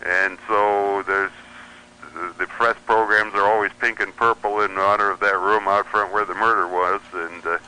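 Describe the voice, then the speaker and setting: Low, very bright-voiced man telling anecdotes. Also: level tone, moderate pace, a little hiss or hum, low-quality recording. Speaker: interview guest. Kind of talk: casual anecdotal interview talk.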